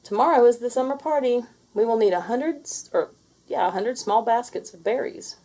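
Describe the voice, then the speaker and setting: A woman voicing a dutiful, cautious female housekeeper in a children's storybook, measured and polite. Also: fairly high pitch, mellow, pitched above the performer's own voice. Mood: businesslike. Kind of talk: storybook character voice.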